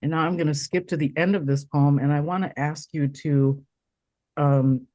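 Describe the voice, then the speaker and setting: A woman from Taiwan, hoarse from a cold, reading her poem slowly and deliberately over a video call. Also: low-pitched, fairly even intonation, dark tone, no echo. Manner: slow, deliberate recitation with pauses. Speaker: poet reading her work. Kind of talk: poetry reading.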